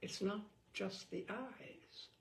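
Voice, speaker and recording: A woman's voice: mid-range voice, takes it slowly, vocal fry, reverberant, slight background noise. A woman reading a humorous mock guidebook aloud in an arch, theatrical, knowing manner. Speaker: storyteller reading a book aloud.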